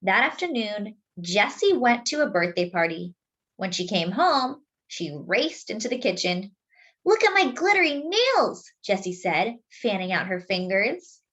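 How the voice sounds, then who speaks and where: A woman reading a picture book aloud to young children, expressive and deliberate, drawing out key words. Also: medium pitch, highs cut off. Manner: deliberate, with drawn-out emphasis for young listeners. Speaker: children's storyteller.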